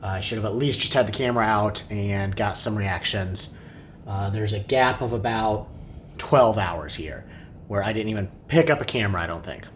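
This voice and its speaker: Male family vlogger, unscripted and regretful, fairly deep voice, some rumble and noise behind him. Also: moderate pace, a bit bright. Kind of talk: unscripted recap to camera. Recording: clear background noise, low rumble.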